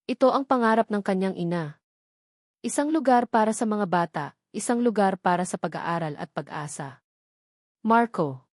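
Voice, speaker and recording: A woman narrating a scripted love story as a storyteller, with a measured, earnest, sentimental delivery. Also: medium pitch, a little mellow, dry recording, studio quality.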